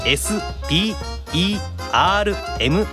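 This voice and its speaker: A male radio host chats casually and brightly with a guest. His pitch moves widely as he talks, and faint music plays behind him. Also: animated, unhurried. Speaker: radio show host, voice actor. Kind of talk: upbeat casual radio chat.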